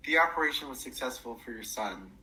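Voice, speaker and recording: A man playing a formal, apologetic hospital staffer in a radio drama, speaking in a measured way. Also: medium pitch, lively intonation, bright, forceful tone, rough recording. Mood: solemn.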